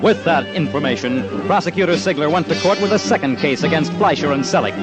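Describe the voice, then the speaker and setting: A man's voice: fairly high pitch, expressive, fast. A man narrating an old-time radio crime documentary in a dramatic, punchy, emphatic scripted style. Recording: old radio with loud music.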